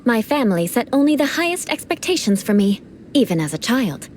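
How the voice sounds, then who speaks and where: Aristocratic game knight, formal, haughty, dry teasing, lively rising and falling voice, soft music behind. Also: female voice, a little high, brisk. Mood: cool. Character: proud aristocratic young woman knight, cool and teasing. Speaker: mentor in a game. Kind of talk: game cutscene voice acting.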